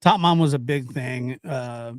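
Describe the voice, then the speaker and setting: A man around forty hosting a casual live-stream chat, halting and circling back over his phrases. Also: medium pitch, no echo, clean studio sound. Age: late 30s to early 40s.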